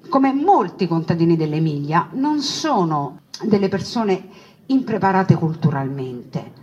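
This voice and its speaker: Woman storyteller, dull noisy recording. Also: medium pitch. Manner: conversational, emphatic, with deliberate repetitions and asides. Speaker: storyteller, stage narrator. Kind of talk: theatrical monologue storytelling to an audience. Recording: dull lo-fi recording with clear background noise.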